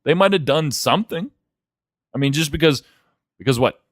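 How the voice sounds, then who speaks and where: A man's voice: fairly high pitch, lively intonation, normal speed, dry recording, studio quality. A man co-hosting a casual sports chat, speaking bluntly and opinionatedly with plenty of swearing. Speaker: sports podcast co-host.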